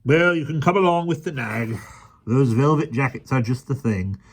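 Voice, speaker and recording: A raucous, scheming fairground showman, put on by a man reading a children's storybook, loud and pushy. His raised voice barks and swings with a hawker's bluster. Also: mid-range voice, expressive, normal speed, husky, pitched above the performer's own voice. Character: loud, red-faced, scheming male showman.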